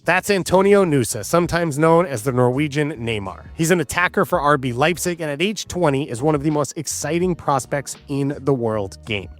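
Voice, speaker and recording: A man's voice: on the high side, moderate pace, hardly any pauses, soft background music. A man narrating a scripted sports documentary as the host.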